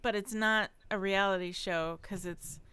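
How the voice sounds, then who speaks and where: A woman's voice: mid-range voice, steady tone, moderate pace, pushed and bright, clear, resonant tone. A young woman hosting a podcast over a video call, chiming in with short reactions and explanations. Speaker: podcast host. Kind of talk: casual chat.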